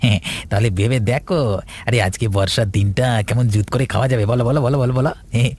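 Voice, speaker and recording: A man's voice: mid-range voice, quick pace, a little mellow, lightly acted. A man playing a gleeful, malicious village husband in a cartoon story. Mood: jovial.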